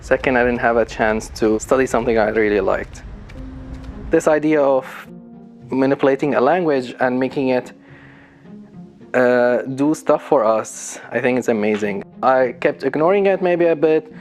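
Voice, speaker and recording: A man in his thirties who grew up in Syria talks about himself as a student. His medium-pitched voice is candid and reflective, in documentary interview style, over faint background music. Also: moderate pace. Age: 30s. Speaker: coding bootcamp student.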